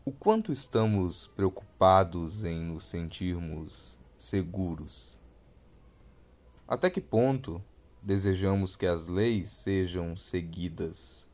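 A man with a Brazilian accent reading a scripted essay deliberately, pausing between short phrases. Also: sits low, expressive, a little mellow. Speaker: podcast narrator reading an essay.

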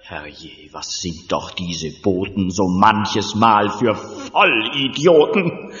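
A man playing a theatrical stage actor who declaims rhymed verse in a drawn-out manner in a radio play. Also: lowish voice, fairly bright tone. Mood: mock sorrow. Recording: muffled, echoey theatre hall, noisy.

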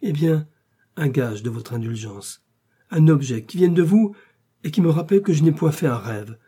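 A man acting out a lovestruck, reckless duke from a period romance. His voice is very dark and slightly husky, and he declaims with fervent, pleading passion and a melancholy streak. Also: fairly high pitch, expressive, normal speed.

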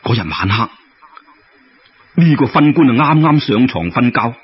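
Male Cantonese storyteller: animated, colloquial delivery, slightly rough voice, lo-fi radio-like sound. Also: mid-range voice, moderate pace. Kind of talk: dramatic Cantonese-style folk tale storytelling.

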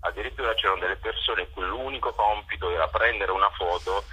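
Deep-voiced hesitant man. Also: steady tone, fast, bright, forceful tone, husky, rough recording. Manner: hesitant, many fillers. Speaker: cultural event organizer. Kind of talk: interview answers.

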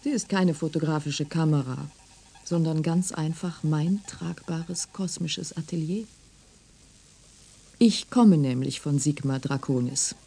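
An actress playing a polite, deferential and slightly hesitant young interviewer in a radio play. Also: female voice, sits low, measured pace, dark tone, husky, heavily acted. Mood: calm, insistent. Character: polite, hesitant young interviewer, secretly an alien robot envoy. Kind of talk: German radio drama acting.

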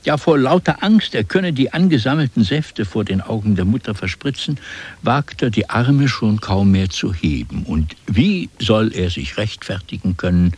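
A man narrating a literary radio play in an expressive, dramatic storytelling manner. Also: on the low side, normal speed, slightly rough.

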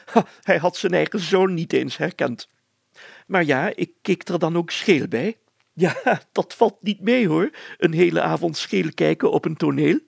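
A man voicing an excitable, stubborn, self-satisfied small-town mayor with a blustery manner in a story. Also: a little high, unhurried, vocal fry, breathy edge, dry recording, pitched above the performer's own voice, exaggerated voice. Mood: indignant, boastful.

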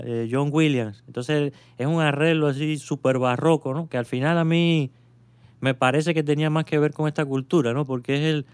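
A man's voice: medium pitch, clear, resonant tone, no echo. A man hosting a radio chat, thinking aloud with many fillers and tag questions. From Cuba. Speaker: radio show host.

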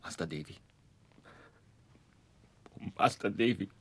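An actor playing a humble, heartbroken working man, halting and pleading, in a 1960s German radio drama. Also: lowish voice, expressive, breathy edge, full character voice. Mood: distraught, pleading.

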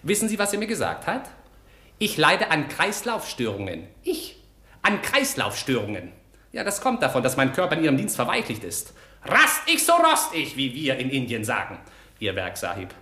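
An actor playing a vain, indignant old Indian fakir in a comic radio play, grand and theatrical in manner. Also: male voice, mid-range voice, huge pitch swings, normal speed, sharp tone, reverberant. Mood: outraged, complaining. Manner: pompous, grandiose, easily offended, theatrical. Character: vain, indignant eighty-year-old Indian fakir, proud of his tortures.

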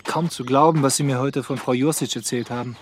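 A man's voice: mid-range voice, steady tone, fairly quick, slight background noise, close to an everyday voice. An actor playing a gallant, theatrical police detective in a radio crime drama, savouring his phrases. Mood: curious.

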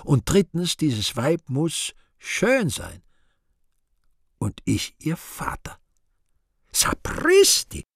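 A vain, scheming devil in a radio play, brooding aloud to himself. The voice is gravelly and husky, swinging widely from low muttering to high exclamation. It is recorded dry and close. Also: male voice, huge pitch swings, extremely slow, pauses often, no echo, exaggerated voice. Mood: excited, plotting. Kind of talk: theatrical radio play monologue.

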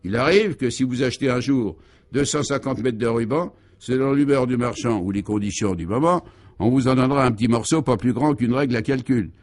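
A man's voice: medium pitch, a little fast, natural voice. A man acting out an assured, sententious driver in a comic radio serial, explaining absurdities matter-of-factly. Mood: patient, assured.

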